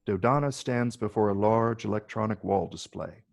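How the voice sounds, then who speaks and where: A man reading stage directions as scripted narration in a measured, descriptive way. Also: lowish voice, vocal fry.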